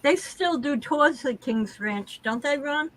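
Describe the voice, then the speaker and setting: A woman's voice: high-pitched, lively intonation, a little slow, fairly bright tone. A woman from Massachusetts with an American accent, chatting casually in an outspoken, emphatic way. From Massachusetts, United States. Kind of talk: casual group chat.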